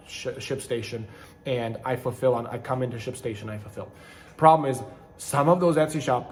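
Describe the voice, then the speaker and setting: A man's voice: medium pitch, slight background noise. A middle-aged man from Ukraine who hosts a channel, talking casually to camera in a rambling way and often correcting himself mid-sentence. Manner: rambling, self-correcting, conversational with frequent restarts. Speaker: channel host, online seller and manufacturer.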